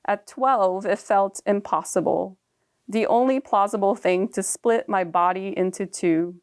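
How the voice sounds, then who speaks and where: Calm young woman reading her prose. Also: medium pitch, clear, resonant tone. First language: Japanese. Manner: calm, measured, reflective reading. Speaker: author reading her own writing. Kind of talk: reading personal prose aloud.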